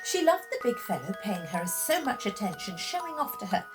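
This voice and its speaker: A woman reading a children's tale as a storyteller, in dramatic, expressive narration with deliberate phrasing and pauses. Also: mid-range voice, over music.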